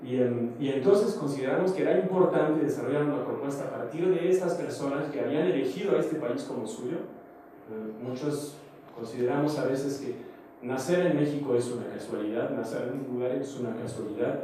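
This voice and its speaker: A man giving a semi-formal, unscripted conference talk as an invited speaker, reflective, with hesitant pauses. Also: mid-range voice, warm tone. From outside Mexico. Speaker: theatre company director, invited speaker. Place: large echoey conference room. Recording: very echoey hall, distant mic, faint noise.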